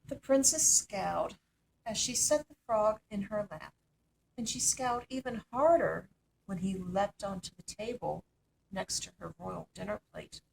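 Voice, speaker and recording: A woman reading a children's story aloud as an expressive narrator. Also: mid-range voice, a little slow, creaky, background noise. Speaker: storytime reader.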